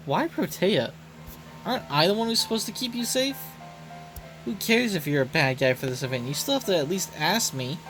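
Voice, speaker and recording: A woman voicing a haughty, sarcastic young female villain in an anime game, cool and sardonic but quick to indignation. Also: sits low. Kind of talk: voiced reading of anime game dialogue. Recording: loud game music behind voice.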